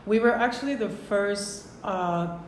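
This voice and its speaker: A woman from the Dominican Republic, a guest in a casual interview, talking in a measured, reflective way with many fillers. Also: mid-range voice, reverberant, a little hiss or hum.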